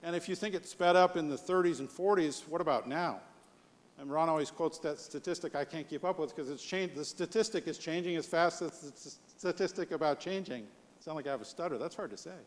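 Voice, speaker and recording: Man, American accent, high-pitched voice, live presentation, conversational, rhetorical questioning, echoing hall. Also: a little fast. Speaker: conference speaker. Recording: long hall reverb.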